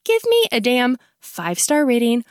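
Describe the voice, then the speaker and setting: A woman's voice: fairly high pitch, wildly swooping pitch, normal speed, darkish tone, no echo, studio quality. A woman hosting a podcast in a casual, blunt manner, swearing freely and poking fun at herself. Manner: blunt and profane, self-mocking. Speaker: podcast host.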